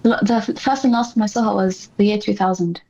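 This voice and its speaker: A woman gives earnest interview answers in a mid-pitched voice. She is articulate and impassioned, leaning on repetition for emphasis. The recording is a little muffled and lo-fi. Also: a little fast, mellow. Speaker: interview guest.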